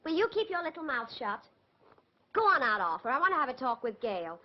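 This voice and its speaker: An actress with an American accent playing a brisk, bossy woman who runs an agency, in 1940s film comedy style. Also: sits high, sing-song. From USA. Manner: brisk, bossy, fast retorts. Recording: dull old film soundtrack with faint hiss.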